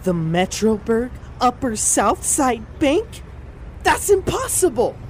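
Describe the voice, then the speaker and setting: An actor voicing an excitable, awestruck boy who talks aloud to himself in a scripted audio drama. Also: mid-range voice, takes it slowly, slight background noise. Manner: exclamatory, talking aloud to himself. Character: excitable, awestruck boy, comic book fan.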